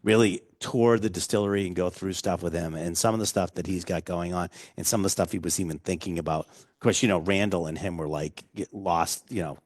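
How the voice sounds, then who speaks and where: A man's voice: low-pitched, fairly quick, hardly any pauses, darkish tone, dry recording, studio quality. A man hosting a casual whiskey tasting, chatty and rambling with jokes. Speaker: host.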